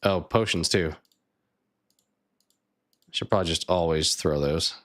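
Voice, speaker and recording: A man streaming a card game live, thinking aloud and chatting with viewers, his voice a bit raspy. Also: low-pitched, a little slow, fairly bright tone. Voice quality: slightly raspy. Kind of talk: casual live gameplay chat.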